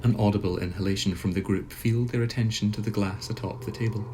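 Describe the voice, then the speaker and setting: A man narrating a scripted surreal radio play in a formal, measured storytelling manner. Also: on the low side, steady tone, background noise. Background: music score.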